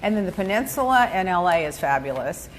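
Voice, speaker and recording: Animated woman hosting a gossipy podcast. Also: fairly low pitch, a little fast, a little hiss or hum, rough recording. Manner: animated, gossipy, laughs often, acts out voices. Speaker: podcast host. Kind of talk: casual chat, answering listener questions. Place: home studio office, indoors. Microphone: desk mic on stand.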